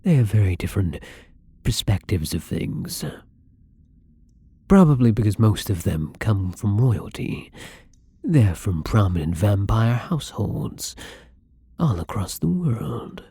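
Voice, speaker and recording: Apologetic, hungry young vampire for ASMR roleplay, played by a man: gravelly, slow-paced, soft and hesitant, slipping into whispers. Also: on the low side, big pitch swings, dry recording, exaggerated voice. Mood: subdued, wistful. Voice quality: husky, breathy. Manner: soft, hesitant, breathy, often dropping to whispers. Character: newly turned young male vampire, apologetic, timid, hungry. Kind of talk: intimate ASMR roleplay acting.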